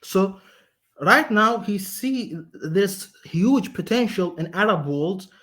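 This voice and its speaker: High-voiced caller, halting non-native English. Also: male voice, expressive, a bit bright. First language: not English. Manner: halting, with many restarts and fillers. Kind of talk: arguing on a call-in stream.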